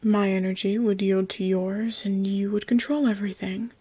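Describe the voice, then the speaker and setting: A woman playing a gentle, patient trapped god in an ASMR roleplay, speaking in a breathy, often whispered voice with careful reassurance. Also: mid-range voice, level tone, close to an everyday voice. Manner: slow, careful, reassuring explaining. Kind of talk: ASMR roleplay, scripted intimate monologue.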